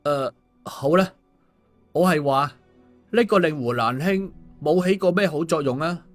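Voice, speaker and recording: A man's voice: a little high, measured pace, lightly acted. A man voicing a glib, streetwise man in a storybook, colloquial and coaxing. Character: glib, streetwise man placating an angry woman. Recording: music under the voice.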